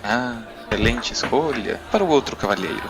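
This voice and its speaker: A man's voice: medium pitch, animated, brisk, lightly acted. Man doing a sultry, coaxing barmaid. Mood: coy. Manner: sensual, coaxing. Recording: loud music bed behind.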